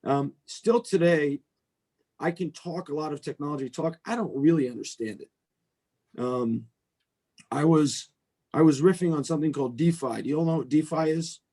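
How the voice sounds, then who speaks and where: Middle-aged male guest speaker, American accent, relaxed dark timbre, informal video-call talk. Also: fairly high pitch, moderate pace. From United States. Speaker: venture capitalist, guest speaker.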